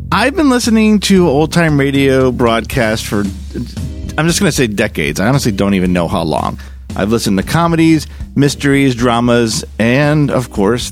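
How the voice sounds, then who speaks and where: A man hosting with scripted narration, measured and given to dramatic emphasis. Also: medium pitch. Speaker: host. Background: music.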